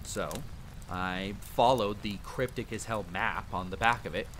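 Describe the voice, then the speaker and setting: A man's voice: lowish voice, measured pace. Man acting brash vampire hunter over rainy ambience. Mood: reflective, grave. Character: young male vampire hunter, ex-street thief, brash but good-natured. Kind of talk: dramatic campfire storytelling roleplay. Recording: steady rain and fire ambience noise. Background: rain, rolling thunder, campfire ambience.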